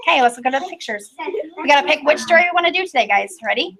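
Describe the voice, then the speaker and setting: A woman with a high voice speaks as a kindergarten teacher. She steers small children along with short, simple directions and questions. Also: lively intonation, very fast, creaky, fairly bright tone.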